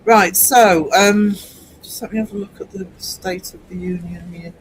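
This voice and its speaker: A man's voice: high-pitched, steady tone, a little slow, a little hiss or hum, poor audio. A man in his sixties hosting a livestream, chatting casually and reading viewer comments aloud, teasing and laughing often. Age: 60s. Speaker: livestream host.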